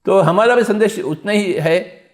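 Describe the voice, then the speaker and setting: A man's voice: high-pitched, a little slow. A man teaching meditation in an exhorting spiritual discourse, repeating his phrases and posing rhetorical questions.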